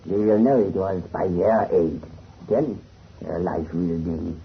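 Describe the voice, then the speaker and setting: A man's voice: low voice, takes it slowly, creaky, heavily acted. A man playing a stern, cautioning expedition companion with formal speech in an old radio drama. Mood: stern warning. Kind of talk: 1930s radio drama acting. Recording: muffled, faintly hissy radio recording.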